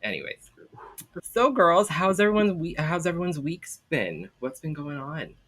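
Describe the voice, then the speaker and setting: A man's voice: a little high, sing-song, moderate pace, pushed and bright. A man hosting a talk show with playful banter, speaking in a campy, sassy, theatrical way.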